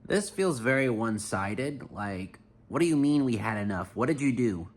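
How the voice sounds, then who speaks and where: Sarcastic deadpan commentator guy. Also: mid-range voice, normal speed, slight background noise. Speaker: reaction commentator. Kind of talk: comedic reaction commentary.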